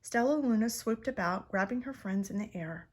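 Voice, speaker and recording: A woman reading a children's picture book aloud in an expressive, dramatised way. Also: mid-range voice, moderate pace. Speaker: storybook reader.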